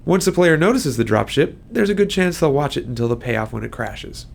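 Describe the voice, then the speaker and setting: A man's voice: medium pitch, sing-song, brisk, gravelly. A man giving scripted commentary as a game developer, explaining a design decision.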